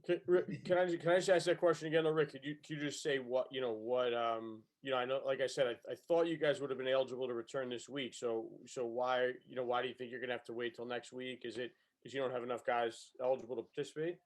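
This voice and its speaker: A man asks questions as a sports reporter on a press call. His voice is mid-pitched, and he phrases things haltingly, doubling back on his words. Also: steady tone. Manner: halting, repeats himself. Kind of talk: asking questions on a media call.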